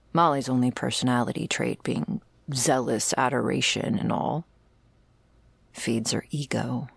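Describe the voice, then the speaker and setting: A woman playing a jaded, wry, terminally ill shopkeeper who serves a vampire, speaking in an intimate, deadpan ASMR roleplay monologue. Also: no echo, exaggerated voice. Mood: sardonic, musing. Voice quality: low, husky, gravelly. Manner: deadpan, sardonic, slow and pausing, swearing casually.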